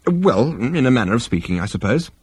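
A man's voice: mid-range voice, very animated, a little fast, husky. A man voicing a nervous, polite, bewildered man who stammers and hesitates, in an audiobook story. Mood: politely hopeful.

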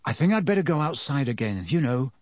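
A man's voice: medium pitch, big pitch swings, fast talker, no room sound, clean studio sound, close to an everyday voice. A man doing a tense, determined teenage boy.

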